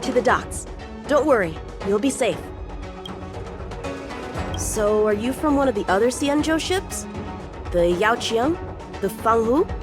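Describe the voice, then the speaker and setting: Game dub role: eager teen boy swordsman, highish, very animated, confident and courteous. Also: a little slow, pauses often, over music. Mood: earnest, courteous. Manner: earnest, animated. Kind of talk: English video game dub acting.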